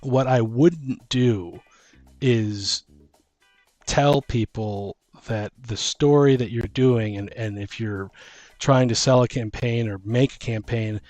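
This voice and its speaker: A man's voice: medium pitch, slow pace, a little mellow, dry recording, soft background music. A middle-aged man chatting casually as a podcast host, rambling emphatically and often breaking off mid-thought. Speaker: podcast host and tabletop game master. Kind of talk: casual conversational chat.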